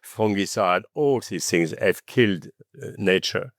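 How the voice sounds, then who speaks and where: French guy chatting on a podcast, lowish voice, lots of "okay?", dry close sound. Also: unhurried, dry recording. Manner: animated storytelling, tags remarks with "okay?" and "you know". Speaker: podcast guest. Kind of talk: conversational interview.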